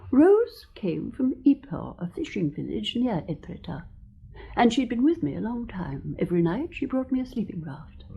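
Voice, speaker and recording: A woman's voice: medium pitch, very animated, moderate pace, gravelly, slightly rough, dull audio, exaggerated voice. An actress playing a dainty, genteel elderly widow who tells her story in earnest, precise sentences in a radio drama. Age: older. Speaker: witness.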